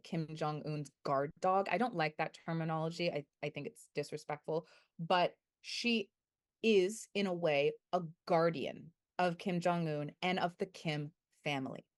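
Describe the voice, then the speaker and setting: Young woman researcher, slightly low pitch, careful and measured delivery, corrects herself mid-sentence. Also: animated. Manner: thoughtful, measured, self-correcting. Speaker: guest researcher. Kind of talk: academic interview answers.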